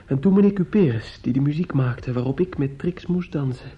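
A young medical student sunk in drink and bitter grief for a radio drama. His voice sits fairly high and sounds husky. He rambles haltingly and repeats himself, on a muffled old recording. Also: lively intonation. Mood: rambling despair. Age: young adult. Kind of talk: Dutch radio play acting.

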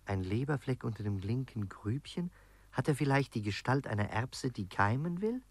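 An actor in a 1950s radio play playing a worldly, teasing artist who banters in a knowing, theatrical manner. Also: male voice, medium pitch, lively intonation, normal speed, no echo. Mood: intrigued, gossipy.

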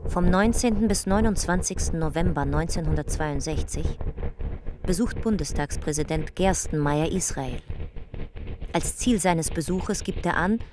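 An actress as a sober, factual narrator reading scripted documentary passages in a radio drama. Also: female voice, lowish voice, moderate pace, background noise, natural voice. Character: factual documentary-style narrator of historical facts.

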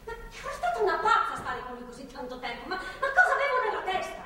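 An actress playing a captive young pregnant woman in a stage drama, bitter, sarcastic and foul-mouthed, speaking in agitated, halting repetitions. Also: very high voice, big pitch swings, pushed and bright, exaggerated voice. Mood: shaken, bitter recounting. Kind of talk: theatrical stage drama acting. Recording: echoey, distant theatre recording, faint noise.

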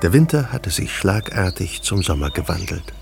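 A man narrating a literary radio drama in a measured, composed way. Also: deep voice, big pitch swings, vocal fry, heavily acted. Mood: composed, descriptive. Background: music.

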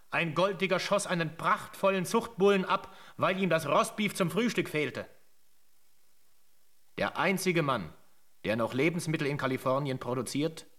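A man's voice: a little high, a bit bright, close to an everyday voice. Actor as a sober radio drama narrator, male. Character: sober chronicler narrating a gold rush history.

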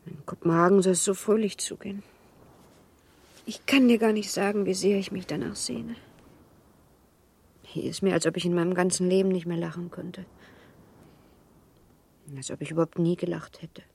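An actress playing a wistful, restless young baron's wife in a literary radio play, with refined, expressive diction and a husky voice. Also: female voice, fairly low pitch, moderate pace, long pauses, full character voice. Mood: yearning.

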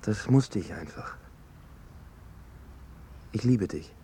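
An actor playing an earnest, lovestruck young footballer, speaking hesitantly in naturalistic radio drama dialogue. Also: male voice, on the low side, expressive, slow pace, warm tone, slight background noise. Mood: tender, nervous. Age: young adult. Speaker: character in dialogue.

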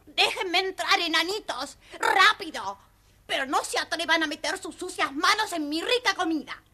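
Shrill, bratty, bossy young stepsister. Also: female voice, animated, moderate pace, bright, forceful tone, full character voice. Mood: rude, imperious. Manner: demanding, haughty, whiny. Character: spoiled, rude, bossy young girl, wicked fairy-tale stepsister. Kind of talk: scripted children's audio storybook acting.

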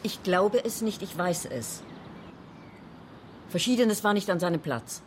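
An actress playing a lonely, anxious, defensive woman in a radio crime drama, speaking haltingly yet insistently. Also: mid-range voice, expressive, slight background noise, natural voice. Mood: insistent, uneasy.

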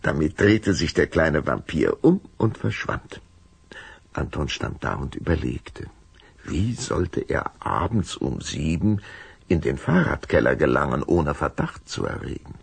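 Very deep, gravelly male storyteller. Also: big pitch swings, measured pace, no room sound. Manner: dramatic, suspenseful. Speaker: narrator. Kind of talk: scripted children's story narration.

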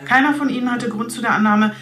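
An actress playing a senior police detective, measured, articulate and businesslike, in a German radio drama. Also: female voice, a little high, over music, lightly acted. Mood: formal, composed.

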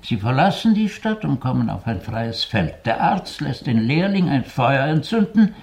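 Manuscript translator: mid-pitched, animated reading, courteous and precise, radio drama. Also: male voice, moderate pace, gravelly. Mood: attentive, courteous. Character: polite male translator reading an old Italian manuscript aloud.

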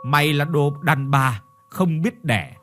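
A man playing a brutal, contemptuous husband in an audio story, loud, coarse and sneering. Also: fairly high pitch, slow. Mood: angry, contemptuous. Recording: music behind the voice.

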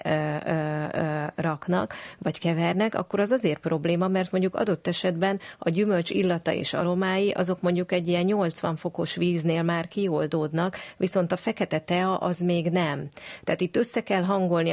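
Low-voiced woman explaining in an interview. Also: darkish tone. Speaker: guest tea expert.

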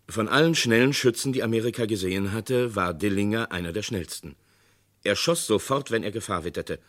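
A man narrating a 1960s radio crime play in a measured, documentary tone. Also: fairly low pitch, fairly bright tone. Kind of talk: scripted radio drama narration.

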